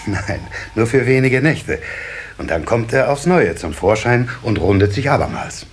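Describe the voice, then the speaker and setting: Animated, kindly water sprite father. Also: mid-range voice, normal speed, husky. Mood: fatherly, indulgent. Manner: fatherly, musing, hesitant repetitions. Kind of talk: children's radio play acting. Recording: faint hiss of old cassette.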